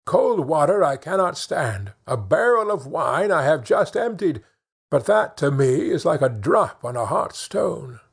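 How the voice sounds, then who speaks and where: A man's voice: a little high, very animated, a little slow, vocal fry, husky, exaggerated voice. A man voicing a sorrowful, complaining man with an endless thirst in a fairy tale. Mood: woeful. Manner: complaining, woeful.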